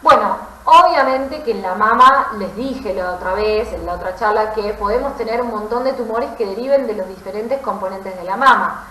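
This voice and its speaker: A woman's voice: mid-range voice, moderate pace, hardly any pauses, bright, forceful tone, a little hiss or hum. A woman with an Argentine accent giving an explanatory medical lecture, didactic, often checking understanding with short tag questions. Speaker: pathology lecturer, physician. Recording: roomy, echoey voice recording.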